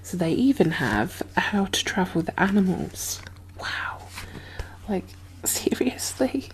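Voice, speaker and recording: A woman playing a caring, uneasy survivor of a zombie apocalypse in a soft-spoken ASMR roleplay, breathy and hesitant, often slipping into whispers. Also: lowish voice, big pitch swings, low-quality recording. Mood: amazed, speculating. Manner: hesitant, musing, halting.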